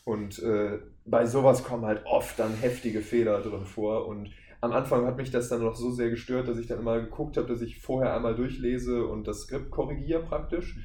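A man's voice: mid-range voice, fairly even intonation, moderate pace, hardly any pauses, mellow. A man speaking as a podcast guest, explaining things casually and at length in a discursive, circling way. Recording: echoey room, faint background noise.

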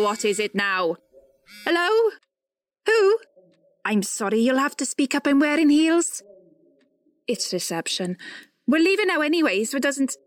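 A British woman playing a bossy, exasperated senior political staffer in an audio comedy, brisk and dry. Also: high voice. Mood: flustered, irritated. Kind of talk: audio comedy drama acting.

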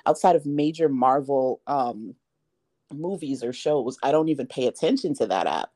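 A woman's voice: very deep voice, expressive, moderate pace, dark tone. A woman in her thirties co-hosting a casual talk podcast, making her points emphatically with rhetorical questions. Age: 30s. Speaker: podcast co-host. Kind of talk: casual chat.

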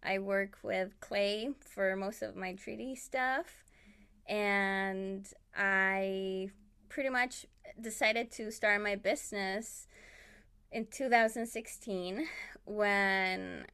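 A woman's voice: medium pitch, steady tone, takes it slowly, bright, forceful tone, clear, resonant tone. A woman from Chile whose native language is Spanish, chatting casually about herself in a giggly, rambling way as an interview guest. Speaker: podcast guest, illustrator and artist. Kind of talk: casual chat, telling own story.

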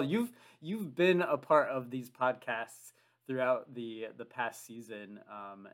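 A man co-hosting a podcast who talks in a halting way, repeating words and using many fillers. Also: fairly low pitch. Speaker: podcast co-host. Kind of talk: casual podcast chat.

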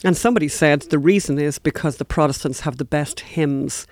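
A man's voice: on the high side, fairly quick, a little mellow, no echo, clean studio sound. A man talking casually as a podcast co-host, chatty and emphatic, often repeating phrases for stress. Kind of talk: casual conversational talk.

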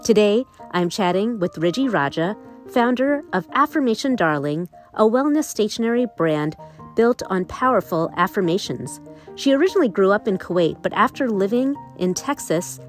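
A woman hosting a podcast, reading an enthusiastic intro and interviewing her guest. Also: medium pitch. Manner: upbeat, enthusiastic. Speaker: podcast host. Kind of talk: scripted intro and interview chat.

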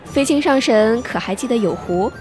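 A woman's voice: fairly high pitch, animated, moderate pace, music in the background, lightly acted. A woman playing a playful immortal in a fantasy audio drama, arch and teasing with mock reverence. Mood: needling.

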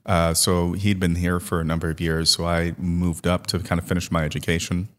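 A man's voice: deep voice, little pitch movement, a little fast, fairly bright tone, in a large hall. A man who grew up in Guyana and Trinidad, easygoing and chatty as an interview guest, often checking in with "right?". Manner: easygoing storyteller, lots of "right?" and "you know". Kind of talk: casual interview, life story.

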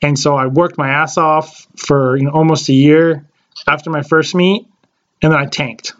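A man's voice: on the high side, fairly even intonation, moderate pace, dull audio. A man in his late twenties, a podcast co-host chatting casually and rambling with many fillers and restarts. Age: late 20s. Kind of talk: casual chat.

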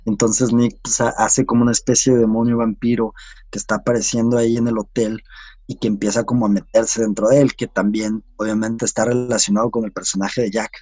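A Mexican film director talking casually in an interview, halting with many restarts and fillers. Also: male voice, fairly low pitch, level tone, darkish tone, breathy edge, no echo.

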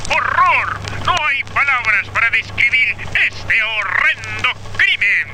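An actor playing a sensational news announcer in a radio drama, emphatic and declamatory. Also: male voice, extremely high pitch, lively intonation, slow, noisy background, full character voice. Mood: outraged, sensational. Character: shrill, sensational male news announcer condemning a crime.

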